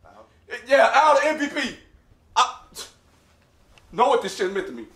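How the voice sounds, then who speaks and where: A man acting in a comedy sketch as basketball players in a locker room, loud and teasing, shouting between laughs. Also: very high-pitched, sing-song, normal speed, creaky, full character voice. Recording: echoey room clip.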